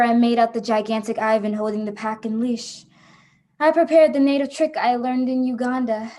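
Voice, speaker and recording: An actress playing a hunted adventurer in a radio drama, telling of the escape with suspense and defiance. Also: female voice, a little high, a little mellow, lightly acted. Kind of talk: first-person radio drama narration.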